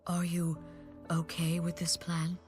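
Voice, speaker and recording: A slightly low, stately voice of a mature female leader in a game cutscene. She is composed and formal, with faint music underneath. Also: monotone, slow, a little mellow. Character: dignified, composed mature female head of government. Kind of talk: video game cutscene acting.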